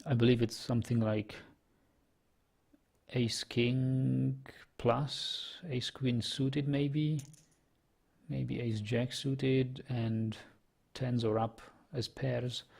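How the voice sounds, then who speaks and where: A man's voice: medium pitch, little pitch movement, drawn-out words, vocal fry, a little mellow, breathy edge. A young man with a Czech accent, a native Czech speaker, thinking aloud as he commentates his online poker play and reads chat, hesitant and full of fillers. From Czech Republic. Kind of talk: casual commentary while playing and reading chat.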